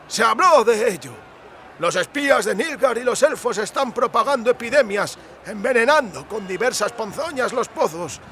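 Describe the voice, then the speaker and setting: Chatty amulet seller for an audiobook, high voice, wheedling and super eager to please. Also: male voice, a bit bright, a little hiss or hum, heavily acted. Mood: gossipy, alarmed. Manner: effusive, wheedling salesman patter. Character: eager, chatty male amulet merchant peddling quack remedies. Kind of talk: audiobook character acting.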